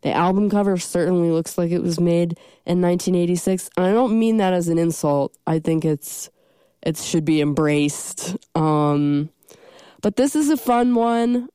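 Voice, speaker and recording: A woman's voice: fairly low pitch, normal speed, warm tone, clear, resonant tone, dry recording. A young woman with a stuffy cold, hosting a college radio show in casual, rambling chat from a studio. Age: young adult. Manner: rambling, self-deprecating, many ums.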